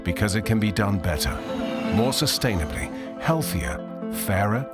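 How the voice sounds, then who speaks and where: A man reading a scripted promotional voice-over with a slow, emphatic delivery. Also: fairly low pitch, sing-song. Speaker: promo video narrator. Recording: voice-over with loud music behind.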